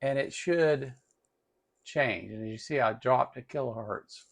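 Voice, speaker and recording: A man with a medium-pitched voice and a pronounced gravelly creak walks through a hands-on demonstration. He speaks informally, thinking aloud step by step like a tutorial host at the workbench. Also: unhurried. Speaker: host of an electronics tutorial channel.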